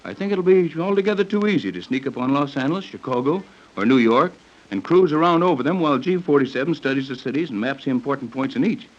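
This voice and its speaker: A man playing a steady, fatherly American captain in a 1930s radio serial, earnest and reassuring. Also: mid-range voice, fast talker, close to an everyday voice. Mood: grave. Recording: dull lo-fi 1930s radio recording.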